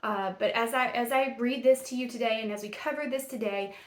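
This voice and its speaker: A woman with a Middle Tennessee Southern accent chats through a true crime episode, folksy and rambling, laughing at her own stumbles. Her voice sits a touch high. The recording has a noticeable room echo. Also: fairly quick. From Tennessee, USA. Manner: folksy, rambling, self-deprecating, many fillers. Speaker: true crime podcast host. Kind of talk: casual chatty podcast. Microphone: camera and mic.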